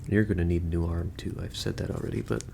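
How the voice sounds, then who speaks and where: A man playing an easygoing, goofy corpse stitcher in a halting, rambling audio roleplay monologue, talking matter-of-factly to a listener. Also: low-pitched, steady tone, creaky, darkish tone. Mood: matter-of-fact, casual. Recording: noisy home recording with ambience.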